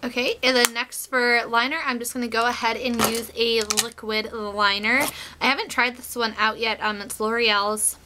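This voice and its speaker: A woman's voice: on the high side, normal speed, noisy background. A woman in her twenties getting over a cold, chatting casually through a makeup tutorial with lots of "um" and "like", recorded on a close webcam in a small bedroom. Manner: chatty, relaxed, many fillers like "um" and "like". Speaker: beauty vlogger.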